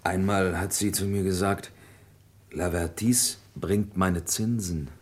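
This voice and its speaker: Gruff farmer, low voice, plain, terse, conciliatory, radio drama. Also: male voice, fairly even intonation, slow, a little mellow. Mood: matter-of-fact. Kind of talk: German radio play acting.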